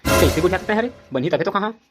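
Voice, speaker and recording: A woman voicing a short-tempered, grumpy father in a cartoon, with a Chhattisgarhi accent and a quick, scolding way of talking. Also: fairly low pitch, expressive, close to an everyday voice. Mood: annoyed. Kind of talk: cartoon voice acting. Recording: loud music behind.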